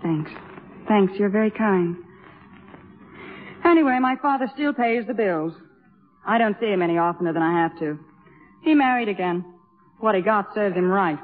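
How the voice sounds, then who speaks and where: An actress playing a cool, troubled young woman student, measured and cutting, in 1950s radio drama style. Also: mid-range voice, close to an everyday voice. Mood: cool, candid. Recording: tinny, narrow old radio broadcast.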